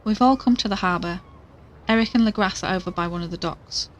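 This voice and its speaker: An actress playing a worried, determined young podcaster in an audio drama, earnest and conversational. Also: female voice, mid-range voice, brisk, close to an everyday voice. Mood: hushed narrating. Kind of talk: audio drama dialogue and recorded narration.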